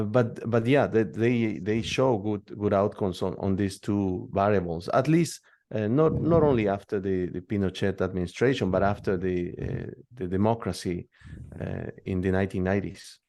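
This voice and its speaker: A man from Peru with a tongue, answering interview questions in a hesitant way, full of pauses and restarts. His voice sits low and has a gravelly edge. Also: darkish tone. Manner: hesitant, many fillers and restarts. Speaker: guest expert.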